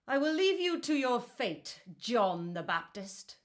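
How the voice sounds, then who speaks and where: A woman's voice: a little high, sing-song, slow. An actress playing a haughty, vindictive queen in a biblical radio drama, speaking with imperious scorn. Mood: scornful, threatening.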